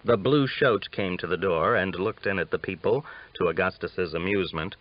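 Male audiobook narrator for a western novel, lowish voice, dull lo-fi recording. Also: normal speed.

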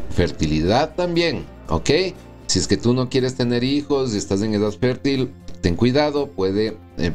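Man reading tarot, coaxing "¿sí?" tag questions. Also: mid-range voice. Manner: warm reassuring, checks in with "¿sí?" and "¿ok?". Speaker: tarot reader. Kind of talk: weekly horoscope tarot reading. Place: small indoor room with plants and wood blinds.

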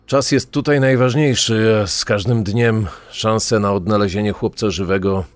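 A sober, worried male county sheriff, acted by a man speaks in a low voice. The sound is dry and close, like a podcast reenactment. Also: fairly even intonation, moderate pace, dry recording, close to an everyday voice. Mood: grave, worried. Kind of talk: acted quote in a true-crime podcast.

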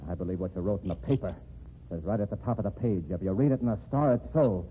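A man's voice: fairly low pitch, quick pace, natural voice. An actor playing a blunt, threatening male gangster boss in an old radio drama, talking tough in clipped street slang. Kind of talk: 1940s radio acting. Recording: tinny old radio broadcast with hiss.

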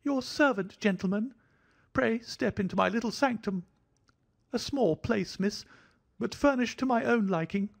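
A man voicing a jittery, fussy little man of about thirty, an anxious hypochondriac with a thin, high voice. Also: measured pace, creaky, full character voice. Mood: effusive. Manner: fidgety, effusive, fretful.

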